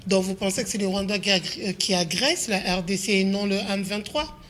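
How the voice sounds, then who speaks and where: A woman's voice: medium pitch, brisk, fairly bright tone, slight background noise. A woman with a Congolese accent hosting a political interview and putting questions to her guest. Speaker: interviewer, host.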